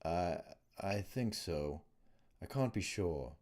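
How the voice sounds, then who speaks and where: Man as a subdued, low-voiced dazed prisoner. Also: animated, slow, a little mellow. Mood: dazed, unsure. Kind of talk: audiobook character voice.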